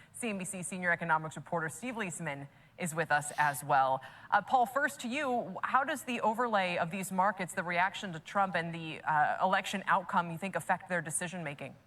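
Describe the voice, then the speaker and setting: A woman news anchor introducing her guests and asking questions in a crisp, formal way. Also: fairly low pitch, a bit bright.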